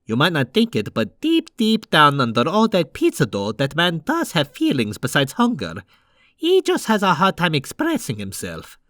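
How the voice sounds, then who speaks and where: Man acting as easygoing cartoon big brother, reassuring, casual, teasing, hugely varied pitch, music underneath. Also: huge pitch swings, normal speed, a bit bright, dry recording, clean studio sound. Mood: teasing, warm. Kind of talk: animated comic dub acting.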